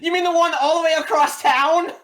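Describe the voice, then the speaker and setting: A man's voice: very high-pitched, steady tone, a little fast, a bit bright, pitched above the performer's own voice. A man voicing a fussy woman customer who insists on returning an item. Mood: insistent.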